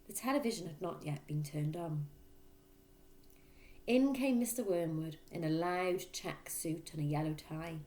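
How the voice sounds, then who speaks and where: A woman reading a children's book aloud, in expressive, emphatic storyteller narration. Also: lowish voice, measured pace, a little hiss or hum. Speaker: storybook reader, teacher.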